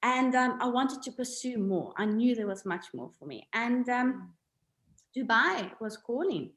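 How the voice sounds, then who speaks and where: Woman, middle-aged, high-ish voice, emphatic, video call, echoey room. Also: unhurried. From South Africa. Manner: animated, emphatic storytelling. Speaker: podcast guest, health coach. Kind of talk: casual interview chat. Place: home room with a mirror.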